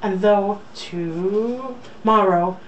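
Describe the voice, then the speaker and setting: A woman's voice: medium pitch, very slow, highs cut off. A young woman casually reading a romance novel aloud, with an irreverent, joking manner. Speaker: reader. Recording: distant mic, faint background noise.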